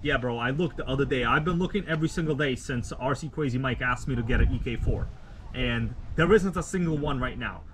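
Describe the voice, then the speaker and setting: A man from Russia, a native Russian speaker, hosting a casual live stream outdoors at night, rambling in a relaxed, slangy way with many fillers. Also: medium pitch, fairly quick, background noise. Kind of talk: casual live stream chat, reading viewer comments.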